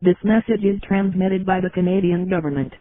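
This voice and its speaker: A man's voice: high voice, fairly even intonation, fairly quick, heavy background noise. A man's synthetic voice giving a formal, scripted government warning as an emergency announcer. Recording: tinny radio-like alert audio.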